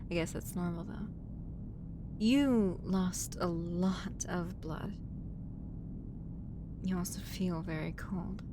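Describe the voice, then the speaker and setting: A woman playing a caring human medic on an alien spaceship in a roleplay audio drama, with a gentle, hesitant, fussing manner. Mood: tender concern. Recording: noisy audio with low rumble.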